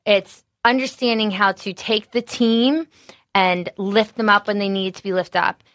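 A woman who is an executive chef, talking casually in an interview with a naturally loud voice and an animated, candid manner full of fillers. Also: medium pitch, unhurried, dry recording, studio quality.

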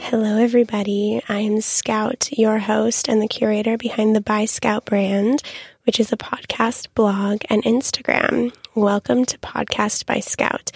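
A young woman hosting a podcast in a casual, chatty monologue, her voice raspy and full of ums. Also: medium pitch, darkish tone, no echo. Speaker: podcast host.

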